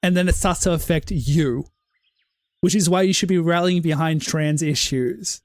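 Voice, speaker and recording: A woman's voice: on the low side, moderate pace, a little mellow, no room sound, studio quality. A woman game streamer on a casual livestream, ranting with passion, leaning on "like" and cutting herself off to restart. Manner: impassioned ranting, heavy use of "like"; self-interrupting restarts.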